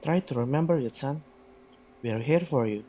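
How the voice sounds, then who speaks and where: A man's voice: medium pitch, moderate pace, mellow, close to an everyday voice. A man playing an unstable, resentful father in a horror readers theater. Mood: calm probing.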